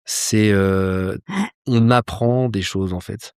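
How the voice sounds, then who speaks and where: Man, lowish voice, animated casual talker, lots of fillers, dry close sound. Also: slow, smooth, no room sound. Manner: animated, swears casually, many fillers and restarts. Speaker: polar explorer guest. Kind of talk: casual interview chat. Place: outdoors with a view of a landscape.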